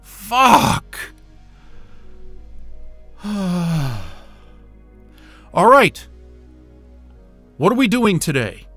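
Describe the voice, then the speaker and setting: An actor playing an irritable, sarcastic, sweary astrophysicist in a science fiction audio drama. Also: male voice, high voice, big pitch swings, extremely slow, pauses often, pushed and bright, dry recording, faint music behind, full character voice. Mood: irritated. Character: Wayne, irritable sarcastic astrophysicist, adult man. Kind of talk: naturalistic audio drama acting.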